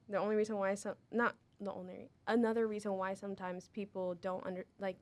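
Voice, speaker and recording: A lively young woman co-hosts a relaxed conversation. Her speech is full of "like" and she laughs easily. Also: medium pitch. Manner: lively, quick to laugh, heavy use of "like". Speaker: co-host. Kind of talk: casual conversation.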